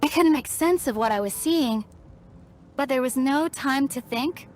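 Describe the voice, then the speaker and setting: A woman's voice: high voice, unhurried. A woman playing a solemn, resolute young princess in a video game, speaking earnestly and formally as if burdened by old guilt. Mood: haunted, grave. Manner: earnest, formal storytelling delivery. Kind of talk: dramatic video game dialogue. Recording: studio voice over background music.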